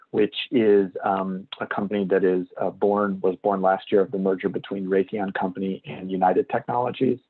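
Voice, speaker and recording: A man introducing himself as a panelist on a webinar, hesitant and clearing his throat. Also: fairly low pitch. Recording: tinny phone-like audio.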